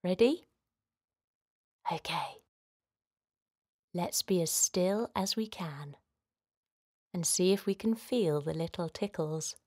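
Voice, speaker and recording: Female children's meditation host. Gentle, simple delivery, very slow pace, lowish pitch. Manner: gentle, soothing, simple instructions with pauses. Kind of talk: guided relaxation for children.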